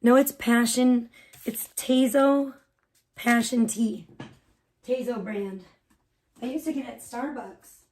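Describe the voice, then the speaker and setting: A woman live streamer, hoarse and losing her voice, chatting bubbly and rambling with her viewers while making tea in her kitchen. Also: fairly high pitch, takes it slowly, pauses often, a little mellow. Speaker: live streamer greeting chat. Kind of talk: casual live stream chat.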